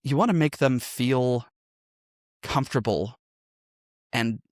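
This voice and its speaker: A man of medium pitch talks as a guest in an interview. He explains things conversationally, often checking that he is being followed. The recording is dry and free of room echo. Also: takes it slowly, darkish tone. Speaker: podcast guest, audio drama creator.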